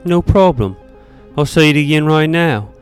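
A man's voice: on the high side, expressive, normal speed, smooth, soft background music, voice pushed up, close to an everyday voice. A man voicing a teenage boy who puts on a thick British accent to sound like a taunting killer in a story. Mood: taunting, brash. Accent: put-on British. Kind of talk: storytelling character voice.

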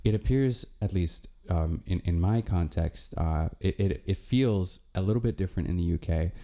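A man interviewing, framing a long question in a halting way with repeated words. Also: deep voice, mellow.

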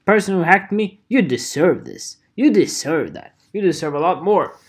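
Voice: medium pitch, sing-song, moderate pace, breathy edge. A vlogger ranting casually to camera, swearing and joking in a mock-angry way.

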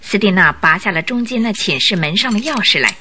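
一位女旁白以富有戏剧性的方式讲述广播剧故事。. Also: female voice, mid-range voice, expressive, brisk, bright, forceful tone, a little hiss or hum. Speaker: narrator. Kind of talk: dramatic scripted storytelling narration.

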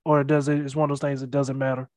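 A man's voice: mid-range voice, level tone, fast, a little mellow, dry recording. A man hosting a podcast, interviewing a comedian guest with many ums and uhs. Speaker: podcast host.

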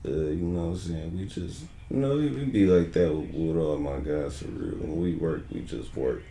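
Deep-voiced laid-back rapper. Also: male voice, moderate pace, dark tone, echoey room, a little hiss or hum. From Detroit, USA. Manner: laid-back, loose slang with frequent "you know what I'm saying". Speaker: rapper guest. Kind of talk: casual interview chat.

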